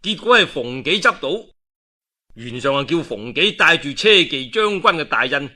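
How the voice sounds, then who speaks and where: A man's voice: on the high side, animated, moderate pace, bright, forceful tone. A male storyteller with a Cantonese accent, narrating a historical novel in a colloquial, dramatic oral style. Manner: colloquial, dramatic delivery with interjections.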